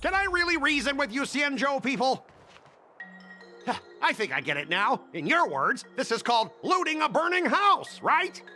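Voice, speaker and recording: A man's voice: extremely high pitch, wildly swooping pitch, normal speed, sharp tone, faint music behind, full character voice. A man voicing an indignant, pushy young trade commissioner in a video game, haughty and argumentative. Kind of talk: video game dub acting.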